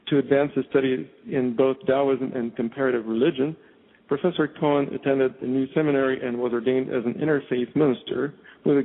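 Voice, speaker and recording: A male show host reading a formal introduction and interviewing a guest. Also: mid-range voice. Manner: measured, formal, stumbles now and then. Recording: tinny phone line.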